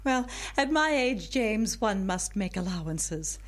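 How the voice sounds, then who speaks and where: Wistful older woman, lively lilting delivery. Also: mid-range voice, slow. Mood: wry, wistful. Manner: wordy, wry, old-fashioned phrasing. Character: smitten, wistful older woman with a mischievous streak. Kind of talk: audio drama acting.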